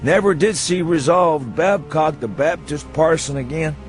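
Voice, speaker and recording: A man's voice: fairly high pitch, a little slow, soft background music, natural voice. A man voicing a drunken, hysterical old man with a rural New England accent and rustic, goading speech in a dramatised story reading. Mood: brooding, mocking. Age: elderly. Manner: rustic dialect, goading questions ending in "huh?". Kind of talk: dramatised audiobook reading for radio.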